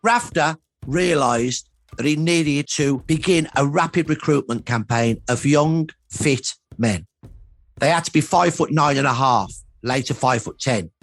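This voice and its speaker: A man's voice: a little high, a little slow, no echo. A middle-aged or older man speaking as a historian in a documentary interview, explaining in emphatic, short repeated phrases.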